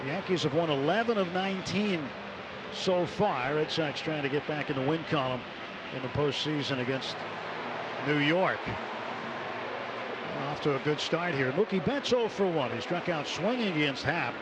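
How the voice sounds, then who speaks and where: A man's voice: fairly high pitch, heavy background noise. A man doing live radio play-by-play of baseball as an announcer, in the manner of a broadcast from the ballpark. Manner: measured between plays, excited on big plays. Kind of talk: live radio sports commentary. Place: stadium broadcast booth.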